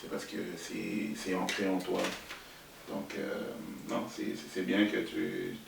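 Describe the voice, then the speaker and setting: Deep gravelly man, flat delivery. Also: takes it slowly. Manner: easygoing, hesitant with many fillers. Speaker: podcast co-host. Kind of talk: casual podcast chat. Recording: echoey room, distant mic, faint hiss.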